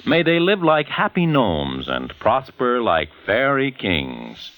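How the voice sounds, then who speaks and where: Male old-time radio host, emphatic scripted narration, animated pitch, forceful bright tone. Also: slow. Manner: dramatic, emphatic.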